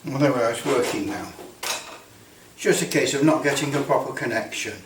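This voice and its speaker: A British man giving a casual hands-on demonstration, halting as he thinks aloud. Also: medium pitch. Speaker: presenter demonstrating electrical testing. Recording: roomy, distant mic with background noise.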